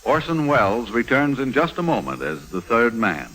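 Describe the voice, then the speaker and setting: A male announcer from 1950s radio speaks with lively, swinging pitch. Loud music plays behind him on a hissy old recording. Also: normal speed, low-quality recording.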